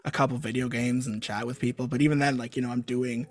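A young adult man talking casually as a guest author, halting and full of fillers and restarts. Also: medium pitch, level tone. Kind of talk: casual interview chat.

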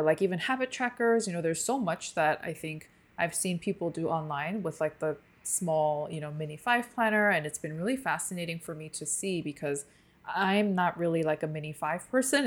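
A woman's voice: lowish voice, normal speed. A woman podcast co-host whose first language is Korean, chatting casually and easily with many fillers. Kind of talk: casual chat.

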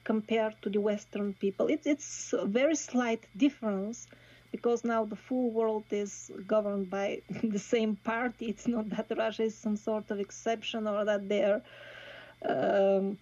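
A woman from Bulgaria, a guest speaking in a thoughtful, halting way with many pauses and restarts. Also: on the high side, darkish tone, highs cut off. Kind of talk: casual interview talk.

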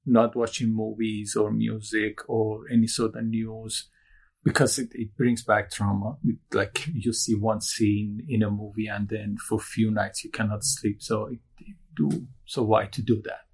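A low, gravelly voice with a husky edge belongs to a young man from Iran whose mother tongue is Turkish. He speaks English, halting and restarting, and slips in dry jokes. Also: flat delivery, darkish tone. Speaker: guest. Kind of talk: candid interview conversation.

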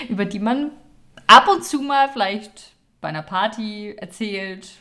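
A young woman co-hosting a casual podcast, chatty and quick to laugh. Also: mid-range voice, sharp tone. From Germany. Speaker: podcast co-host. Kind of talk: casual chat.

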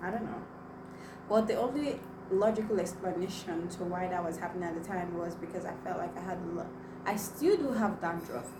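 A woman's voice: lowish voice, brisk. Chatty young woman, Igbo first language. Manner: chatty, addresses viewers directly, many fillers. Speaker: vlogger. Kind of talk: personal storytelling to camera. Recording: echoey room, mic at a distance, noisy.

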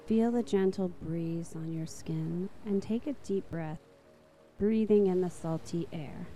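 Very dark, mellow woman guiding meditation. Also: lowish voice, faint music behind. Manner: soft, slow, soothing. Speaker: meditation guide. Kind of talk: guided meditation narration.

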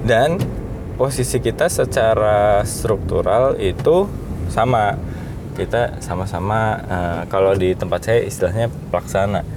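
Thirties man hosting a solo talk, low and unhurried, hesitant, loud rumble under the voice. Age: 30s. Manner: thoughtful, hesitant, many fillers. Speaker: podcast host.

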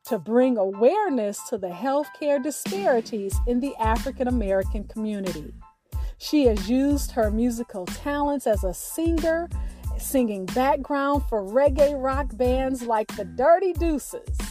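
A woman hosting a radio show, reading a scripted introduction of a guest in a measured, drawn-out, deliberate way. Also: on the high side, lively intonation, mellow, soft background music.

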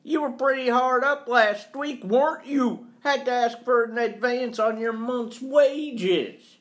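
A man's voice: very high voice, slow, pitched above the performer's own voice, full character voice. A man voicing a blustering, accusing male employer in a storybook, with a harsh, sneering delivery. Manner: sneering, forceful, badgering.

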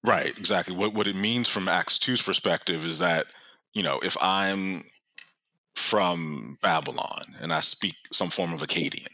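Deep-voiced man explaining with a laugh. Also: a little slow, sharp tone, dry recording. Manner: earnest explaining, laughs often. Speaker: guest scholar. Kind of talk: conversational teaching.

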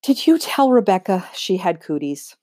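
A woman voicing an exasperated, wry female schoolteacher from a storybook, firm and measured as she lectures. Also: medium pitch, very animated, warm tone, dry recording. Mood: stern.

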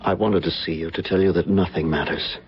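An actor playing an earnest, devoted suitor, pleading ardently in old-time radio drama style. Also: male voice, low voice, steady tone, a little fast, a little hiss or hum. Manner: ardent, insistent declarations. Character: earnest, devoted man pleading for his beloved. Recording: dull vintage radio broadcast recording.

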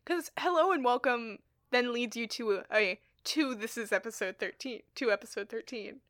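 A woman's voice: a little high, moderate pace, no echo, studio quality. A young woman co-hosting a podcast in casual chat, laughing often as she talks. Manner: giggly, laughs often, animated. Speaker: podcast co-host.

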